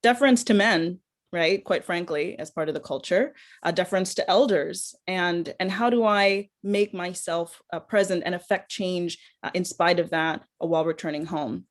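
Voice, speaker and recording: A woman's voice: fairly low pitch, normal speed. A middle-aged woman from Kenya, speaking earnestly and reflectively as a panelist over a video call. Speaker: panelist, public health researcher. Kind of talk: panel discussion.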